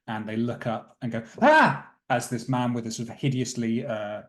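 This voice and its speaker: A man's voice: mid-range voice, level tone, brisk, a little mellow. A man plays a tabletop roleplaying game, spinning the story aloud as he goes. His delivery is thoughtful and wordy, with a dryly theatrical streak. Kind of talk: improvised story narration at a game session.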